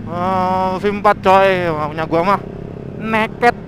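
A man chatting casually and excitably in slangy style as he vlogs while riding outdoors. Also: high-pitched, takes it slowly, background noise. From Indonesia. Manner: excitable, exclamatory, interjection-filled. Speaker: motovlogger. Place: outdoors, riding a motorbike on a forest mountain road.

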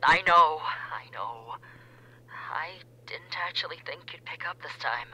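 An actor playing a remorseful young ex-boyfriend on a call, speaking softly and hesitantly, sometimes in a near whisper. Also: male voice, on the high side, a little slow, husky, heavily acted, rough recording.

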